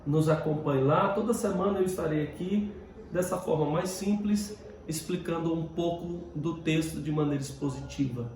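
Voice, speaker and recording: A Brazilian man with a fairly high voice gives an informal Bible lesson as a pastor. He speaks in short, emphatic phrases and appeals straight to his listeners. The sound is very reverberant and somewhat distant from the microphone. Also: normal speed. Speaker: pastor teaching a Bible lesson, host of the show. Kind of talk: expository Bible teaching, spoken informally. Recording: very echoey room, distant mic, faint noise.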